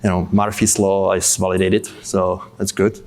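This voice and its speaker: A man's voice: deep voice, little pitch movement, normal speed. A man from the Czech Republic in his thirties, giving a conference tech talk in a casual way with many fillers, while he has a cold. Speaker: conference speaker.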